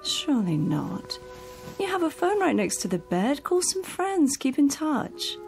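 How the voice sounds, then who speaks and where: A woman's voice: sits high, sing-song, a little slow, vocal fry, a little mellow, faint music behind, heavily acted. An actress playing a caring, encouraging woman home helper in a radio drama, coaxing and reassuring.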